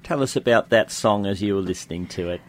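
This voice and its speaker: A man's voice: lowish voice, fast. A man hosting an interview in a conversational style, putting questions and comments to a guest. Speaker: interview host.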